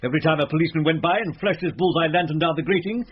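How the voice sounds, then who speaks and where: An actor playing an elderly British ghost, a proud, grumbling murderer with pompous, old-fashioned courtesy, in a comic radio drama. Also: male voice, a little high, very fast, breathy edge. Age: older. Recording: muffled lo-fi audio with faint noise.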